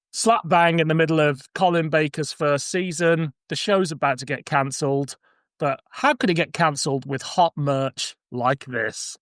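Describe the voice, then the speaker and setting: A man's voice: on the high side, fairly even intonation, a little slow, a bit bright, dry recording, studio quality. A man in his forties hosting a podcast, switching between casual chat and scripted summaries. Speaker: podcast host.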